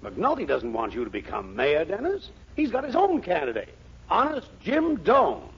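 An actor playing an excitable, scheming middle-aged storekeeper in a 1940s radio comedy, blustering and coaxing with a raspy voice. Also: male voice, sits high, moderate pace, slight background noise, heavily acted. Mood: agitated, urgent. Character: excitable, scheming older storekeeper and campaign manager. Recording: dull 1940s radio recording.

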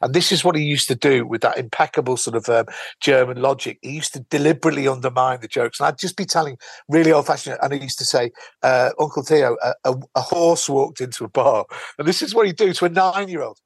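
A man co-hosting a comedy podcast, telling animated anecdotes and doing quick comic voices. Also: mid-range voice, vocal fry, breathy edge, no echo. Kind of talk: casual chat.

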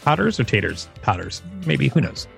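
A man's voice: mid-range voice, big pitch swings, moderate pace, vocal fry, fairly bright tone, soft background music. A man co-hosting a podcast, reading an article aloud and making wry, joking asides. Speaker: podcast co-host. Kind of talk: reading aloud with casual commentary.

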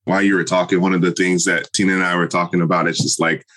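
A man's voice: low voice, level tone, fast talker, pushed and bright. A man hosting a podcast, chatting with enthusiastic praise in a casual way. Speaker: podcast host.

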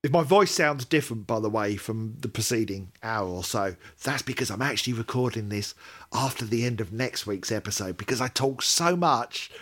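A man's voice: fairly low pitch, expressive, normal speed, a bit bright. A middle-aged man hosting a podcast, chatting casually and hesitantly about music fandom, often rambling and correcting himself. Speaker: podcast host.

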